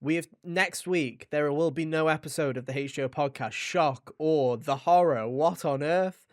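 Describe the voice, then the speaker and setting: A man's voice: on the high side, normal speed, no room sound. A man hosting a podcast in a casual, chatty way, with a jokey, mock-dramatic manner, close to the microphone. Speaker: podcast host.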